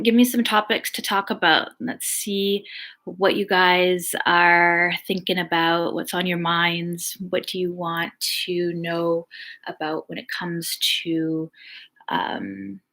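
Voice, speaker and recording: A woman with a soft, relaxing voice, hosting a casual live chat, reading viewer comments and answering questions. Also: mid-range voice. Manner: chatty, reads comments aloud, frequent "um". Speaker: live stream host.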